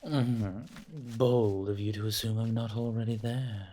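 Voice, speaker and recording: A man voicing a playful, possessive forest monster in a horror audio roleplay, teasing with drawn-out menace in a husky, breathy voice. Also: lowish voice, steady tone, warm tone. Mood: amused menace. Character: taunting male forest monster hunting its prey, possessive, playful.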